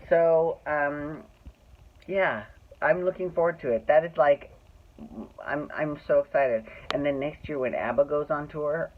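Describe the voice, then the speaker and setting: A middle-aged person with a Californian accent telling personal stories casually, in a catty, dramatic and sweary way. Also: medium pitch. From Glendale, California, United States. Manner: catty, dramatic, profane, acts out dialogue. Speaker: storyteller host of own show. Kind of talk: casual personal storytelling to camera. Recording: muffled phone-like narrow-band audio.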